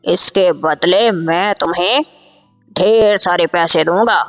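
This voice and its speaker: A woman's voice: fairly low pitch, takes it slowly, soft background music. A woman voicing a shrewd, grasping male hunter who bargains persuasively in a cartoon story. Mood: calculating.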